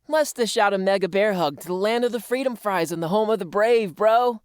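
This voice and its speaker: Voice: mid-range voice, a little fast, clean tone, dry recording, clean studio sound, natural voice. An actor playing a friendly, slangy cartoon dude with a breezy, exuberant delivery. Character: slangy, hyped-up American dude stereotype, friendly and patriotic. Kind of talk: scripted character dialogue.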